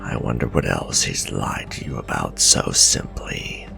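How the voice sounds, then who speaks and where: A man playing a gruff, centuries-old werewolf vampire hunter, bitter and distrustful, growling grim, breathy warnings in a dramatic fantasy ASMR roleplay. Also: animated, heavily acted. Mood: scornful. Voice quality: very deep, gravelly, breathy growl. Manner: grim, scornful, slow and deliberate warnings. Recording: voice over clear background music. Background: music.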